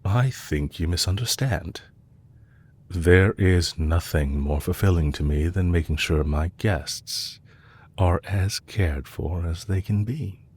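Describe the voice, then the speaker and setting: Villain leader for intimate audio roleplay, male actor: low, husky register, courteous and measured, calculating under a gently teasing manner. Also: exaggerated voice. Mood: smooth, assured. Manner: polite, measured, softly teasing. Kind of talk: intimate scripted audio roleplay addressed to the listener.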